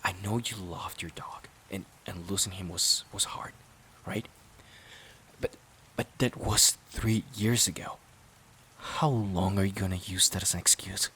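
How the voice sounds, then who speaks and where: A man playing a resentful, overworked boyfriend in an emotional audio roleplay, halting and sighing as he repeats himself. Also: sits low, fairly even intonation, fairly bright tone. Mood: weary resentment. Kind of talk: emotional audio roleplay, intimate dramatic monologue.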